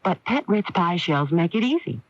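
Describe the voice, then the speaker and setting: An actress playing a modest, cheerful home baker giving a testimonial in a radio commercial. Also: female voice, fairly low pitch, sing-song, normal speed. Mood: friendly, confiding. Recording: dull lo-fi radio broadcast, dry.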